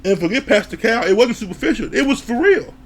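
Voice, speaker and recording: A man giving a casual, animated recap of a reality TV episode, laughing, swearing, and acting out what people said. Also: extremely high pitch, fast. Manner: animated, laughs, acts out quoted lines, swears. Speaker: reality TV reviewer.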